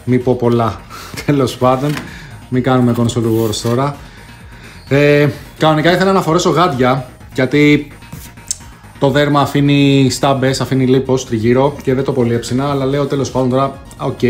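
A man's voice: mid-range voice, fairly even intonation. A man, presenting casually as a video host, chatty and slangy with bits of English collector jargon. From Greece. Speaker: host of a retro console collecting channel. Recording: echoey room, distant mic, music behind.

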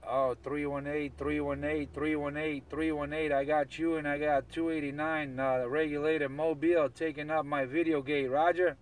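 Man, fairly high voice, repetitive CB slang, casual banter, faint radio noise. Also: level tone, normal speed, hardly any pauses. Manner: repeats phrases, slangy. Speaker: CB radio operator. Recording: distant mic, noisy radio hiss.

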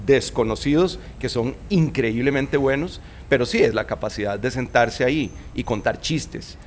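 A Costa Rican man in his forties talks things through slowly and thoughtfully, restarting sentences and weighing his words. His voice sits at an ordinary middle pitch, recorded close on a boom mic out on a veranda, with a faint ambience behind him. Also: slight background noise. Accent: Costa Rican Spanish. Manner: thoughtful, hesitant, many restarts and fillers. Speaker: guest. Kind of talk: casual interview conversation. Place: shaded outdoor veranda. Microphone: studio mic on boom arm.

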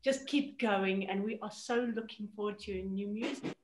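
A woman's voice: medium pitch, moderate pace, echoey room. A middle-aged woman on a video call, the host's mother, kindly paying compliments. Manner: warm, complimentary.

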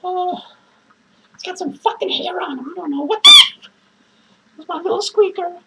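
A woman playing an unhinged, drunk male clown who rambles crudely, cackles manically and lurches between shouts and mutters. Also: extremely high pitch, sing-song, normal speed, very noisy, heavily acted. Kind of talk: improvised character monologue to viewers.

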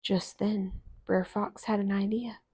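A woman in her thirties narrating a children's story aloud in an expressive, deliberate way. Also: mid-range voice, breathy edge. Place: small living room, on a couch.

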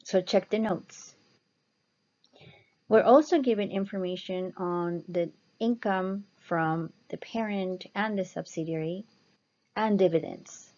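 A woman instructor explaining slides step by step in a measured lecture style. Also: mid-range voice, dark tone. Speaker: accounting instructor. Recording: dull, muffled lo-fi voice-over.

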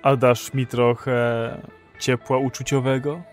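An actor playing a nervous, naive first-time client in an underground, hesitant and earnest, in an audio drama. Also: male voice, medium pitch, level tone, slow pace, faint music behind, natural voice. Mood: tentative. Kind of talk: radio drama acting.

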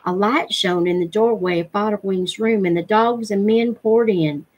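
A middle-aged woman reads a story aloud. Her voice sits at a medium pitch and has a husky, unpolished edge. She is narrating a novel for a read-along. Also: moderate pace, muffled recording. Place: living room at home, indoors.

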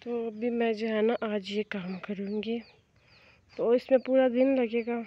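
A woman's voice: fairly high pitch, fairly even intonation, a little slow. A woman from Kashmir, chatting and explaining to viewers as she narrates her casual village daily-life vlog. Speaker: village vlogger. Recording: dull lo-fi phone recording.

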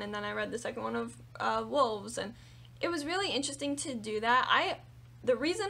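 Chatty young woman, slightly raspy. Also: on the high side. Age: young adult. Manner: animated, chatty, lots of fillers and hand gestures. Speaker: book vlogger. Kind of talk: casual monthly reading wrap-up. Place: small room with bookshelves indoors.

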